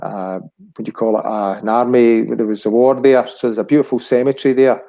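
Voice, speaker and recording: A man's voice: medium pitch, fairly even intonation, fairly quick, creaky, mellow, dull audio. A Scottish man of about sixty reminiscing casually as a chat show guest, rambling and searching for words. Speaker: chat show guest, family business director.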